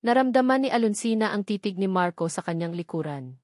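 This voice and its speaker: A woman's voice: mid-range voice, expressive, a little fast, a little mellow, no echo, studio quality. A woman reading a scripted dramatic story as its narrator.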